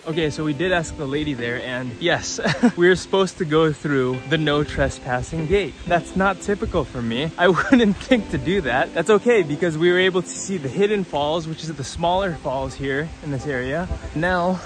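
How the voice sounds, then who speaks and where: A man's voice: fairly high pitch, normal speed, soft background music. A young man in his twenties, a Tagalog native speaker, vlogging to a phone held at arm's length outdoors in a tropical forest, upbeat and laughing often. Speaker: travel vlogger. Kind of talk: casual travel vlog to camera.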